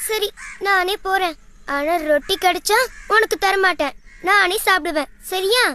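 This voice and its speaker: Very high childlike cartoon girl cat, bright and sharp, bossy, whiny, light music behind. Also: moderate pace, slight background noise. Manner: scolding, insistent, whiny. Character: bossy, stubborn young sister cat, quarrelsome and childlike. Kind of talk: children's animated story acting.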